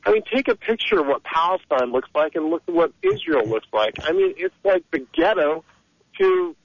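A man's voice: a little high, lively intonation, moderate pace, no room sound. A man calling in to a radio talk show, talking in a heated, rambling, opinionated way over the phone. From Egypt. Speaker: caller. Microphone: telephone. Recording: dull lo-fi phone line.

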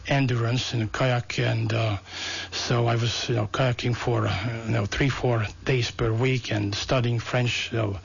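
An older man with a Hungarian accent tells his story as a radio guest, pausing often on "uh." His voice is gravelly and husky. The sound is dull and lo-fi, like an old broadcast. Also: medium pitch, level tone. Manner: halting, many "uh"s, amused. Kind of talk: telling a personal story.

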